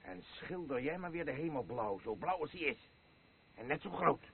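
A man acting a boisterous, rambling alcoholic husband in a Dutch radio play, halting and swinging between elation and weariness. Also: a little high, expressive, phone-quality sound, slight background noise. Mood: elated, commanding.

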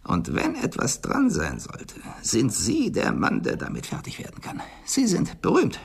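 An actor in a German radio play voicing a capricious, agitated millionaire with a trembling voice that turns shrill, vehement and imperious. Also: male voice, wildly swooping pitch, unhurried, exaggerated voice. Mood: insistent. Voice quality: husky, creaky, trembling, turning shrill. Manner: vehement, repeats words, imperious. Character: capricious, agitated millionaire obsessed with a nightly dream.

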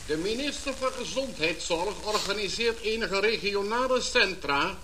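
A man playing a solemn government official giving a formal, measured radio address in a radio play, on a hissy old recording. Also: sits high, lively intonation. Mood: solemn, reassuring.

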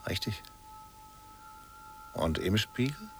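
Deep-voiced, enigmatic, reserved painter. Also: male voice, huge pitch swings, fairly bright tone, soft background music, full character voice. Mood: quietly probing. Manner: terse, measured, questioning. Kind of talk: radio play acting, intimate dialogue.

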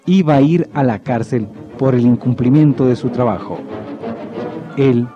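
A man narrating a legend in a radio drama with measured storytelling. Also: medium pitch. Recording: dull lo-fi recording with faint music.

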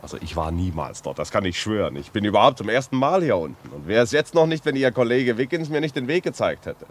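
A male actor playing a curious, easygoing journalist in a German radio play, chatty and animated in delivery. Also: on the low side, fast. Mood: puzzled, defensive. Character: breezy, curious journalist, amused and reassuring.

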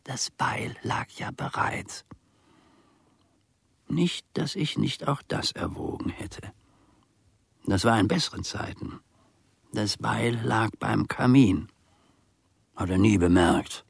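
An aggrieved old manservant holds forth in a radio drama monologue. His voice is husky and breathy, unhurried and closely recorded in a dry space. He is proud and pompous, muttering bitterly in old-fashioned phrases and sinking into whispers. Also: on the low side, expressive, no echo, heavily acted. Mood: bitter, brooding.

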